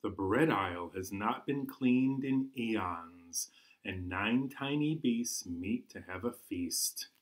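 A man's voice: on the low side, expressive, takes it slowly, low-quality recording. A middle-aged man hosting a children's storytime with rhythmic, playful delivery. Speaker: library storytime host. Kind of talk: children's songs and picture-book reading. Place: living room at home.

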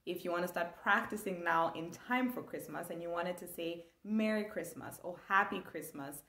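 A woman's voice: fairly low pitch, normal speed, roomy sound. A young woman from Zambia, teaching an online language lesson with enthusiasm, gesturing as she talks. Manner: animated, gestures a lot, enthusiastic. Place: small room indoors.

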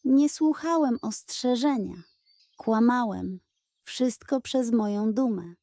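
A woman's voice: on the high side, slow, vocal fry, dark tone, dry recording. A woman voicing a small, remorseful male puppy with an earnest, humble manner in a children's storybook. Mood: sobbing remorse.

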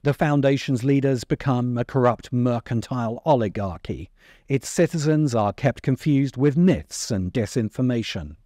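A middle-aged man narrating a scripted video essay in a deliberate, emphatic way, close to the microphone. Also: medium pitch, expressive, dark tone, dry recording. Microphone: close desk microphone.

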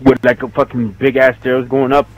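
A young man playing along with friends, excitable and quick to swear when surprised. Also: mid-range voice, poor audio. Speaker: fellow player. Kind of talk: casual gaming chat.

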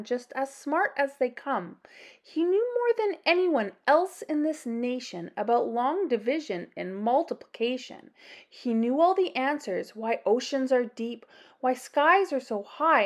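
Slow, high-voiced, animated woman reading stories. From Canada. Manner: animated, deliberate, dramatic emphasis. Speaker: children's storytime host and reader. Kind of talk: slow, expressive read-aloud narration for children.

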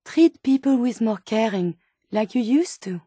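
An actress voicing a gentle, earnest female robot scientist turned human in a video game, with a French accent and formal, precise phrasing. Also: on the high side, expressive, a little slow, breathy edge, no echo, studio quality. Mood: pleading, earnest. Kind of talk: video game character dialogue.

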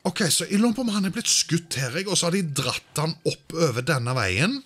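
A man's voice: fairly high pitch, big pitch swings, fairly bright tone, breathy edge. A man doing casual let's-play commentary, thinking aloud and sprinkling in English exclamations. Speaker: gaming video host.

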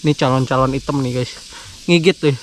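A young man with a Sundanese accent, chatting casually to viewers while fishing outdoors, slangy and quick to laugh. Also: medium pitch, a little hiss or hum, poor audio. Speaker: fishing vlogger. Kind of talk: casual vlog narration. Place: outdoors by a pond in rural greenery.

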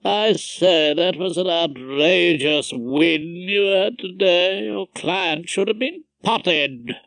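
A man's voice: high-pitched, takes it slowly, creaky, fairly bright tone, dry recording, pitched above the performer's own voice, full character voice. A man voicing a pompous, condescending older male judge in an audiobook, with a haughty drawl.

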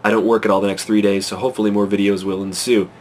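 Stuffy-nosed guy in his 20s rambling into his webcam, nervous and excited, kinda low voice, little bit of background hiss. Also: very fast. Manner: anxious, excitable, rambling with many fillers. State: congested from a cold. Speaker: vlogger. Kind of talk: casual to-camera vlog. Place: small bedroom at night, indoors. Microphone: webcam at close range.

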